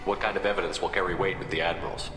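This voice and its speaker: An actor playing a direct, businesslike military captain in a video game, asking probing questions. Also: male voice, deep voice, steady tone, very fast, lightly acted. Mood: probing, steady. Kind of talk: video game dialogue acting. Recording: echoey hall reverb, music behind.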